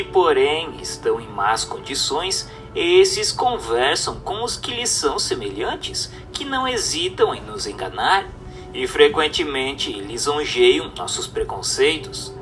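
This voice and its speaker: Expressive higher-pitched man reading formally. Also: recorded from a distance. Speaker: narrator. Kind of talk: scripted reading of a spiritist text, audiobook-like.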